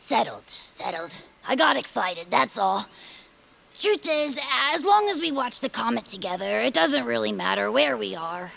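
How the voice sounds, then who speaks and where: A loyal, excitable young adventurer in an audio drama. The voice is heavily creaky and high, leaping around in pitch, with earnest, folksy, old-fashioned talk. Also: female voice, normal speed, slight background noise, full character voice, poor audio. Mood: resigned. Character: eager, loyal, adventurous young friend named Hatch. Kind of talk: audio fiction acting in a quaint old-radio manner.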